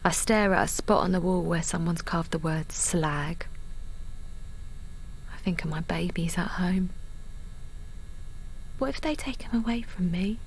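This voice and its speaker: A woman's voice: lowish voice, unhurried, pauses often, poor audio. Young mother, anxious, naive, vulnerable, intimate audio drama, faint background noise. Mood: hushed, aching. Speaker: actor and narrator in an audio drama. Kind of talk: intimate first-person audio drama acting.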